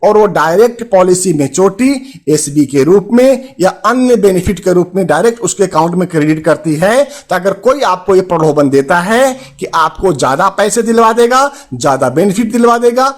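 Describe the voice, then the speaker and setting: High-pitched Indian man presenting advice. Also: lively intonation, normal speed, few pauses. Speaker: host of a finance and insurance advice channel. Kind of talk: presenter addressing viewers with a consumer alert.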